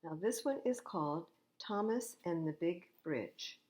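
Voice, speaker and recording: An older woman reading children's stories aloud at storytime, expressive and unhurried, with little asides to young listeners. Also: fairly low pitch. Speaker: library storytime reader.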